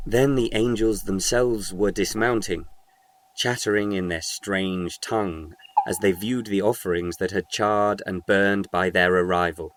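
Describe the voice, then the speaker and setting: A man's voice: sits low, steady tone, unhurried, slight background noise. A man reading scripted narration for a short audio story.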